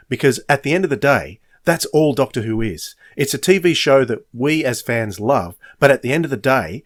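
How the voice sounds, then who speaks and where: Emphatic man hosting a podcast. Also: mid-range voice. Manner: emphatic, earnest, repeats phrases for stress. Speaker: podcast host. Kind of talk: opinionated podcast monologue.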